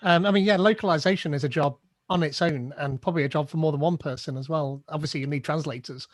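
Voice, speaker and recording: A man's voice: fairly high pitch, quick pace, no room sound. A man hosting a meetup, asking questions in a chatty, friendly way. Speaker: meetup host. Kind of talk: casual Q&A hosting.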